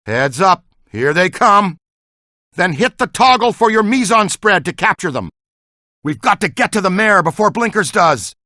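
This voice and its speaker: Bright, animated ghost catcher. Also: male voice, sits high, moderate pace, dry recording, clean studio sound, heavily acted. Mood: urgent, commanding. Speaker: video game voice actor. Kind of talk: video game character lines.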